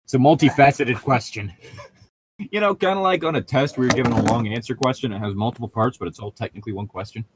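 A man's voice: medium pitch, quick pace, slight background noise. A man chatting casually with friends and reading aloud, with a wry, joking manner. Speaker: co-host reading a book aloud for commentary. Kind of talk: casual chat and read-aloud among friends.

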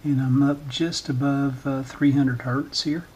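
A man giving an informal hands-on demonstration in short, halting, hesitant phrases, talking at a workbench indoors. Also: medium pitch, fairly even intonation, a little mellow, husky. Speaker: electronics repair channel host, demonstrator.

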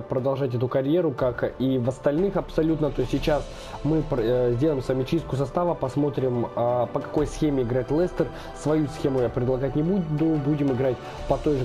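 A man's voice: mid-range voice, warm tone. A young man hosting a gaming channel, chatting casually as he plays a football management game, thinking aloud with lots of fillers, his voice a little off from being slightly ill. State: slightly unwell, voice affected. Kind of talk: casual let's-play commentary.

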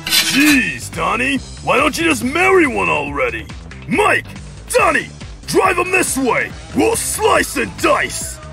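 A man's voice: extremely high pitch, huge pitch swings, slow, creaky, bright, forceful tone, soft background music, heavily acted. A man voicing a brash, sarcastic teenage mutant turtle fighter in a cartoon, goading and wisecracking. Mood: shouting, teasing. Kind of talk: cartoon action acting.

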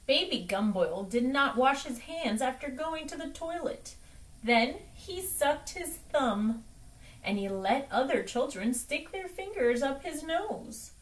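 A young woman reading a storybook aloud to children in an expressive, deliberate way. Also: high-pitched. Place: small room at home, indoors. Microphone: camera microphone from across the room. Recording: distant microphone in a room.

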